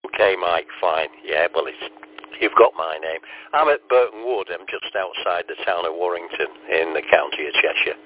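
A man's voice: deep voice, lively intonation, moderate pace, a little hiss or hum. An older man, chatting in a friendly way as an amateur radio operator over two-way radio. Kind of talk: casual radio contact chat with call signs. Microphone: radio transceiver microphone. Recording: tinny, narrowband two-way radio.

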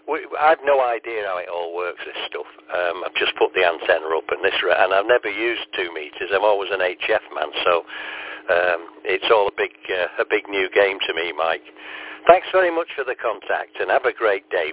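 A man's voice: low voice, a little hiss or hum. An older man, chatting in a friendly way as an amateur radio operator over two-way radio. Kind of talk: casual radio contact chat with call signs. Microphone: radio transceiver microphone. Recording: tinny, narrowband two-way radio.

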